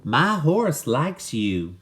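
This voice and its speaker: A man's voice: on the high side, big pitch swings, a bit bright, voice pushed up, heavily acted. A man voicing a friendly, folksy cowgirl in a storybook, drawing out the words. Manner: drawn-out, folksy. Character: friendly drawling cowgirl woman selling canyon postcards. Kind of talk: storybook character voice.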